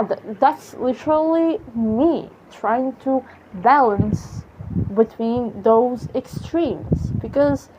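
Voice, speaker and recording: A woman with a Serbian accent musing aloud about life in a halting, self-correcting way, swearing casually now and then. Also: on the high side, a little mellow, a little hiss or hum. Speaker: vlogger. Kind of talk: rambling philosophical musing. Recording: muffled lo-fi audio.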